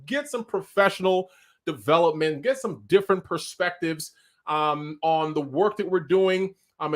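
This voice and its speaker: A man with a Nigerian accent hosting a live online talk show, energetic and hyped, over a video call mic. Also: a little high. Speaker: host.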